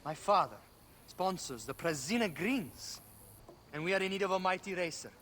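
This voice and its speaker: A man's voice: high voice, lively intonation, moderate pace, a bit bright, slight background noise. A man playing a polite, eager young son of a wealthy patron in a video game cutscene. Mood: courteous, eager.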